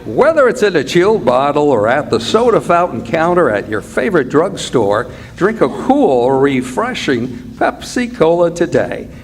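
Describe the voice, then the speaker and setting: Lively male old-time radio announcer. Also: fairly high pitch, soft background music. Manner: promotional, measured delivery. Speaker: commercial announcer. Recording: live stage with faint noise.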